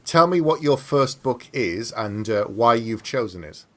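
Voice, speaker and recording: Male podcast host, mid-pitched voice. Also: animated, measured pace, a bit bright. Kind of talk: podcast hosting and interviewing.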